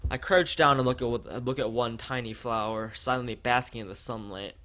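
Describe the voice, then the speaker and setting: A man's voice: mid-range voice, steady tone, normal speed, fairly bright tone. A man from the United States, a let's play streamer, reading a visual novel aloud.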